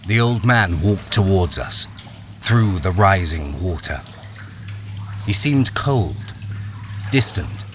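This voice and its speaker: A man's voice: low-pitched, lively intonation, takes it slowly, pauses often, slight background noise. An actor playing a haunted miner, narrating his ordeal in a brooding, suspenseful radio-drama style. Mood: uneasy. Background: music.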